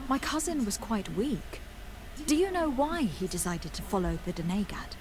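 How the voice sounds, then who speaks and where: A woman's voice: mid-range voice, very animated, normal speed, noisy background, lightly acted. An actress playing a commanding, skeptical noblewoman in a video game, questioning people in a formal manner. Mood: probing. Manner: formal, interrogating. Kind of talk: video game dialogue acting.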